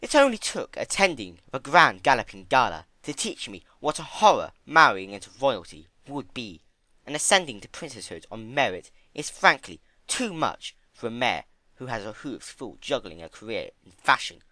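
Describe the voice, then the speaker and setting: A man's voice: mid-range voice, big pitch swings, normal speed, sharp tone, no echo, pitched above the performer's own voice, poor audio. A man voicing a refined, vain female pony in an affected, theatrical manner for a dramatic storybook reading. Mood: musing. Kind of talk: dramatic fan fiction reading.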